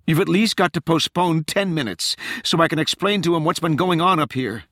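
Man voicing a frantic woman, pitched high. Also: brisk, a bit bright, dry recording, studio quality. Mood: desperate, pleading. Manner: urgent, emphatic, blurting. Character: frantic, desperate younger woman, a government intelligence liaison. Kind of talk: dramatized audiobook character voice.

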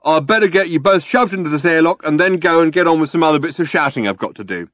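Man acting a dim alien guard, dutiful bully, plodding, polite, comic audiobook, raised lively pitch, dry recording. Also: quick pace, dry recording. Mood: plodding, doubtful. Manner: hesitant, plodding, polite between bellows.

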